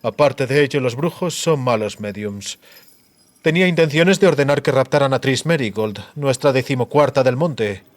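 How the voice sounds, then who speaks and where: A man voicing a cruel, disfigured sorcerer in a measured, theatrical monologue, coldly gloating and menacing. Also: mid-range voice, dry recording, lightly acted. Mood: calculating, gloating.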